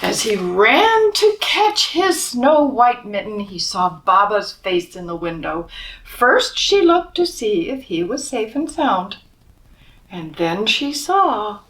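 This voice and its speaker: Slow, animated woman reading a picture book. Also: mid-range voice, distant mic, poor audio. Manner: expressive, asks listeners questions, drops to whispers. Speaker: storybook reader. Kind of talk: children's picture book read-aloud.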